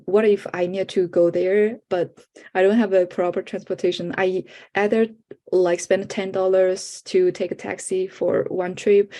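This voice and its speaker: Halting woman presenter, native Chinese speaker. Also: lowish voice, mellow. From China. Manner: halting, with frequent restarts and fillers. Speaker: conference presenter. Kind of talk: online workshop presentation.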